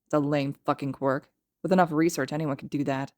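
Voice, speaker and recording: A woman's voice: sits low, steady tone, brisk, dry recording, clean studio sound, lightly acted. A woman voicing a sardonic, menacing young male villain with a blunt, sneering drawl in a story reading. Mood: threatening.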